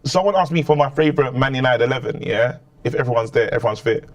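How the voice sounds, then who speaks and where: A man, loudly co-hosting a casual football chat in a dark studio. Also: mid-range voice, fast talker, highs cut off. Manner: loud, animated, laughs often. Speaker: football show co-host.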